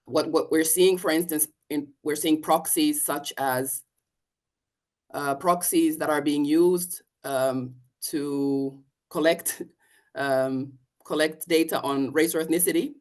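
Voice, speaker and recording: A woman from Finland, a policy officer answering a panel question on a video call, hesitant, with many fillers and restarts. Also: sits low. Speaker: European Commission policy officer.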